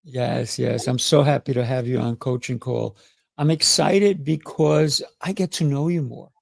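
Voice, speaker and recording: A man's voice: mid-range voice, a little slow, creaky, no room sound, clean studio sound. A man hosting a podcast interview, affirming and encouraging his guest. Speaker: podcast host.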